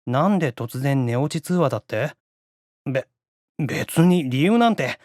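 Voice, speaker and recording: A man's voice: mid-range voice, animated, measured pace, a little mellow, no room sound, studio quality, soft background music, close to an everyday voice. A man voicing a shy young male otaku from an anime game, stammering defensively through a bashful late-night call. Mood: bashful, flustered. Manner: stammering, defensive tsundere confession.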